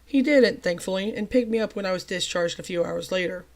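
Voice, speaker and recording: A young adult storyteller whose voice still sounds a bit off from a recent cold. They talk in a chatty, self-mocking way and break into lively, emphatic bursts. The pitch sits in a middle range. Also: fairly quick. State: recovering from a cold, voice a little off. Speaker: channel host telling a personal story. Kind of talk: storytime narration.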